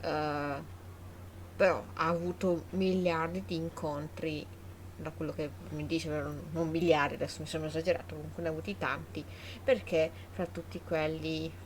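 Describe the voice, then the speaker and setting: A woman's voice: sits low, slight background noise. An Italian woman giving casual live commentary on a game, informal and thinking aloud, often repeating her words. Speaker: game streamer. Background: game music.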